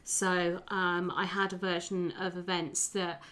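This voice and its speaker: A woman's voice: lowish voice, level tone, unhurried, fairly bright tone. A woman chatting casually in a group discussion, hesitant as she pieces her thoughts together. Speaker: tabletop roleplay podcast player.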